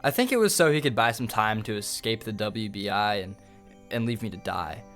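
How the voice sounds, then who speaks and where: A man's voice: on the low side, lively intonation, moderate pace, fairly bright tone, soft background music. A man playing an aggrieved former henchman and pilot testifying in an audio drama. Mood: bitter, matter-of-fact.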